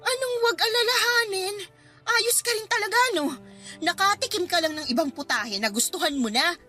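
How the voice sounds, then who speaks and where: A woman's voice: very high voice, moderate pace, loud music behind the voice. A woman playing a bitter, combative wife and mother in a radio drama, sarcastic and confrontational. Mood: indignant, angry.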